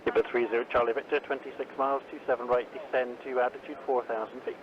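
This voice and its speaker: A man working as an air traffic controller, giving terse, procedural instructions in rapid clipped phrases over radio. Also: mid-range voice, level tone. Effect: radio transmission. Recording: muffled air traffic radio, faint noise.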